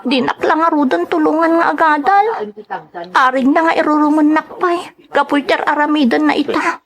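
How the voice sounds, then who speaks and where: Woman acting as a troubled teen schoolgirl in a radio drama, really high voice, earnest and pleading. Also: moderate pace, crowd noise. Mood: worried, pleading. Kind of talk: dramatic Ilocano radio-style serial acting.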